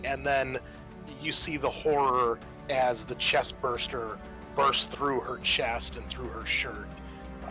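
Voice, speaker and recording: Bright-voiced man running a tabletop game. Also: mid-range voice. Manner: halting, graphic description with casual swearing. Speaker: game master. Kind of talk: narrating a tabletop horror game.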